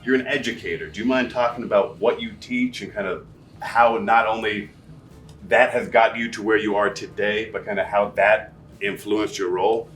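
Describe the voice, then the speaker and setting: Low-voiced male interview host, friendly. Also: steady tone, normal speed, fairly bright tone, recorded from a distance, faint music behind. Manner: friendly, appreciative, joking. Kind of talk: casual interview conversation.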